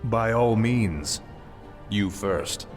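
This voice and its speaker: A man's voice: lowish voice, very slow, over music. A man playing a cold, arrogant fallen prince turned death knight in a video game, commanding, impatient and sardonic. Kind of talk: video game cutscene acting.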